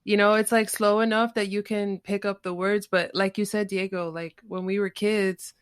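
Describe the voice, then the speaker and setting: A woman's voice: mid-range voice, flat delivery, moderate pace, clean tone, dry recording, studio quality. A woman hosting a podcast asks her guests questions in an easygoing, conversational way and affirms what they say. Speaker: podcast host. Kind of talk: casual interview chat.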